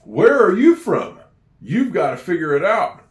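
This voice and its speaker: A man's voice: sits high, very animated, measured pace, far from the mic. A man from Oregon tutoring a child in handwriting, chatty and encouraging as he talks straight to the viewer. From Oregon, USA. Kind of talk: slow, patient writing lesson. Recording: echoey room, voice away from the mic.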